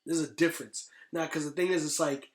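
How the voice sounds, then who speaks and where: A man's voice: on the high side, fairly even intonation, fairly quick. A man in a casual podcast chat, slangy and agreeable, restating his points as he goes. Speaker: podcast guest. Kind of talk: casual podcast chat about movies and games.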